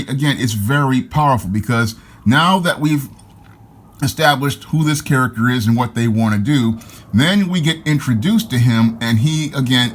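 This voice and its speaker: A man's voice: mid-range voice, a little hiss or hum. Comic review guy, hyped, chatty, keeps saying again, normal middle voice. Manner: enthusiastic, repeats "again" and "your". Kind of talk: casual video review.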